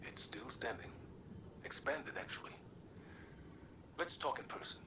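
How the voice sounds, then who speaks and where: An actor playing a stern older male commander in a video game cutscene, speaking in a measured, reserved, near-whispered way. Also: mid-range voice, lively intonation, noisy background, lightly acted. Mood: reflective.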